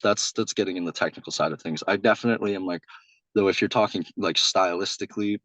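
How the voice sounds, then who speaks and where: A young man, a singer-songwriter guest, talking loosely in a casual interview, with a loud voice and lots of restarts and "like.". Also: low voice, quick pace, no room sound. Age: young adult. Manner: rambling, many restarts and "like".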